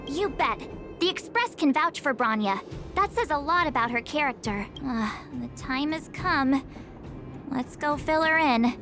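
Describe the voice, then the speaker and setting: A woman playing an expressive, loyal and curious teenage girl adventurer in a video game. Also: high-pitched, unhurried. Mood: earnest. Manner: exclamatory and expressive. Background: game music.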